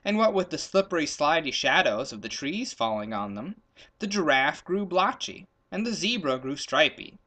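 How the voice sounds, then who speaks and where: A male storyteller reads a children's tale with great expression. His voice is bright and a little high, swooping playfully through rhythmic lists of words. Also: sing-song, normal speed.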